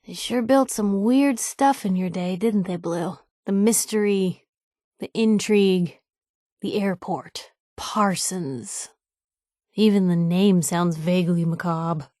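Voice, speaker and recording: An actress playing a feisty, wry young woman reporter in video game companion dialogue, conversational, slangy and expressive. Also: fairly low pitch, slow pace, no room sound, studio quality. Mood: sardonic, curious. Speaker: voice actor for a game companion character.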